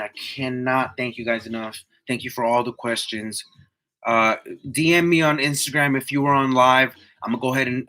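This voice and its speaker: A man's voice: medium pitch, pushed and bright. A man in his twenties chatting casually to live viewers in a slangy, motivational way, repeating himself for emphasis. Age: 20s. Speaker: livestream host, tree climber.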